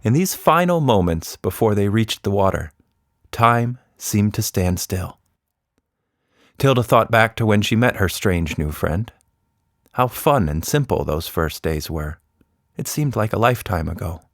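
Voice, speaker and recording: Expressive male children's storyteller, dry sound. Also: fairly low pitch, measured pace, dry recording. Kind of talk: scripted children's story narration.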